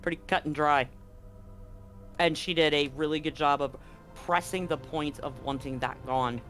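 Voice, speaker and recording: Man, game master, slightly high voice, chatty explainer, faint music behind. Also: fairly even intonation, moderate pace, a bit bright. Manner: chatty, explanatory, frequent ums. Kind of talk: recapping and explaining a tabletop game on a livestream.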